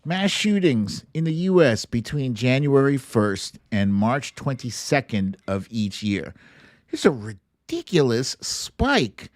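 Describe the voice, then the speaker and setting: A man's voice: mid-range voice, sing-song, takes it slowly, no room sound, studio quality. An American man hosting an online political commentary show, talking casually, bluntly and emphatically, with some swearing.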